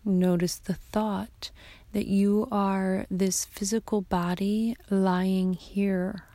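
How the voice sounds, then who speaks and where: A woman guiding a yoga nidra meditation in a soft, hushed, half-whispered voice, giving calm, soothing instructions. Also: medium pitch, drawn-out words, no echo. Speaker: meditation guide.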